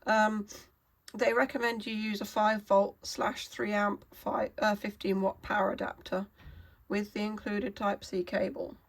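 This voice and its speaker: Hoarse, wheezy woman vlogger with a cold; halting, apologetic, chatty explainer. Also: mid-range voice, level tone. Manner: halting, apologetic, many fillers and restarts. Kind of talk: casual product explainer.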